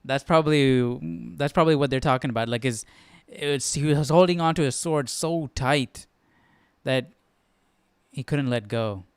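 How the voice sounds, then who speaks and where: Clear-voiced man casually teaching, dry sound. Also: mid-range voice, normal speed, dry recording. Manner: informal, thinking aloud, often checks with "right?". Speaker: Bible study host. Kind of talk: casual live study commentary.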